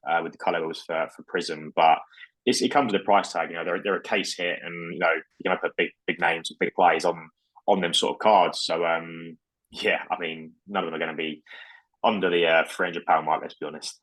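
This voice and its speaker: A man co-hosting a podcast, talking in a relaxed, hesitant way with many ums and you-knows. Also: low voice, brisk, rough recording. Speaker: podcast co-host. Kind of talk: casual chat about trading cards.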